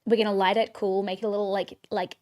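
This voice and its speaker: Chatty Australian actress. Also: female voice, medium pitch, flat delivery, quick pace, darkish tone. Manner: chatty, many restarts and fillers. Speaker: actress guest. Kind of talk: casual interview.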